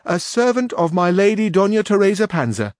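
A man voicing a quick-witted young page in a storybook reading, talking in a raised, courtly, eager way. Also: sits high, sing-song, no echo, studio quality, voice pushed up.

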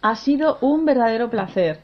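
A woman's voice: a little high, animated, moderate pace. A woman in her forties, an opera singer, answering interview questions in a cheerful, chatty way and laughing often. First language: Spanish. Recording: dull lo-fi radio sound.

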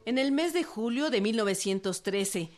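A woman's voice: fairly high pitch, expressive, moderate pace. A woman with a Mexican accent narrating history from a script in a radio drama. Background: music.

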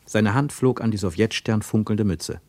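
A man narrating a literary radio play in a measured, deliberate storytelling way. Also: lowish voice, darkish tone, no room sound.

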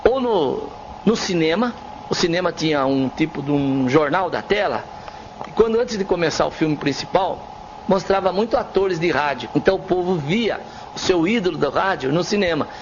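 A man's voice: on the high side, lively intonation, moderate pace. A Brazilian man, a radio broadcaster, explaining things in an interview. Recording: muffled, faint background noise.

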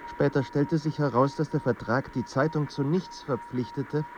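An actor playing a somber, measured literary narrator in a German radio play. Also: male voice, on the high side, natural voice. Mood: somber, reflective. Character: somber male literary narrator of a troubled writer's life. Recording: dull sound with faint background noise.

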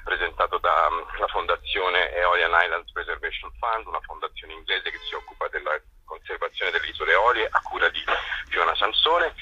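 A man with a very deep, husky voice answers in an informal interview on a phone. He feels his way through sentences, often pausing to find the word. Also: bright, forceful tone, dry recording, muffled recording. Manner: hesitant, searching for words. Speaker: festival co-director being interviewed.

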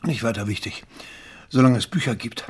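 A man's voice: mid-range voice, moderate pace, breathy edge. An actor playing a bookish, philosophical man in a radio drama, speaking huskily in a musing, literary way. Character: bookish, philosophical male companion waiting in the dark.

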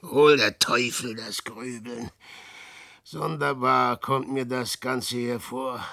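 An actor playing a weary, self-mocking old adventurer, muttering theatrically to himself in verse in a 1960s radio drama. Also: male voice, medium pitch, steady tone, slow, gravelly, sharp tone, dry recording, studio quality, exaggerated voice. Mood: despairing, bitter.

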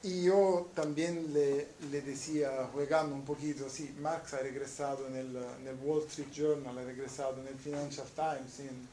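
A man from Naples with an Italian accent giving a university lecture, speaking haltingly with many restarts. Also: mid-range voice, fairly even intonation, mellow, roomy sound, a little hiss or hum, poor audio. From southern Italy, Naples. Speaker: guest lecturer.

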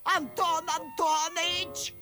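A woman's voice: very high-pitched, level tone, music in the background, heavily acted. A woman playing a hard-of-hearing, muddled old countess in a radio verse comedy, fussily exclaiming and questioning. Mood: alarmed, fussy. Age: older. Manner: mishearing, exclaiming, drawn-out questions. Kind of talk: classic verse comedy radio play acting.

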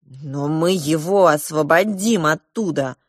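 A woman voicing a young boy who speaks insistently and loudly in a storybook reading. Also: deep voice, slow pace, no room sound, clean studio sound. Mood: indignant. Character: indignant boy, the cook's son.

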